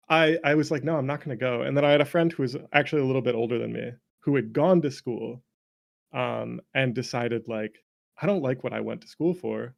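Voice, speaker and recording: Creaky-voiced young North American man, chatting casually. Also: medium pitch. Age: late 20s to early 30s. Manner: self-deprecating, swears casually, laughs easily. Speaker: interview guest, game developer. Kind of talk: casual conversational storytelling.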